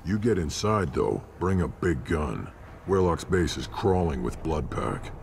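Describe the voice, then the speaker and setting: Gruff alien military scout boss in a game; rumbling bass, rough creak, dismissive. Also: male voice, sing-song, unhurried, a little mellow, slight background noise, full character voice. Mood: gruff, matter-of-fact. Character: gruff, blunt alien Krogan scout commander. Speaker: scout commander. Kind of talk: video game acting.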